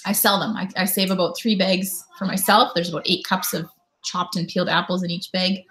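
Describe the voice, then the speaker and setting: A woman's voice: on the low side, level tone, a little fast, fairly bright tone, slight background noise. A woman from Canada in her thirties, hosting a casual live stream from home and chatting with viewers as she reads out their comments. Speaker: YouTube host.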